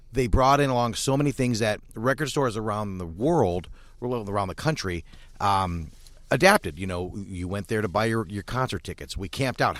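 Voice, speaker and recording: A man's voice: fairly low pitch, no echo. A man who co-authored a book, talking in a rambling way with many restarts as a podcast guest at a restaurant. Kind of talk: casual chat.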